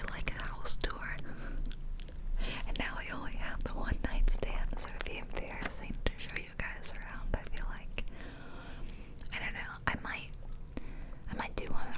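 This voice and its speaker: A woman's voice: measured pace, rough recording. A woman rambling casually in an ASMR style, speaking close to the microphone, ear to ear, in a bedroom at home. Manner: chatty, rambling, informal. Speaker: ASMR video creator. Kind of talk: ASMR whispering, casual ramble over gameplay.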